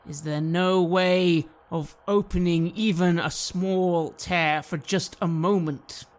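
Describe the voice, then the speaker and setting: A man playing a stern, proud inquisitor in a tabletop fantasy game, negotiating in a firm, deliberate way. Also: high voice, fairly even intonation. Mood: firm, bargaining.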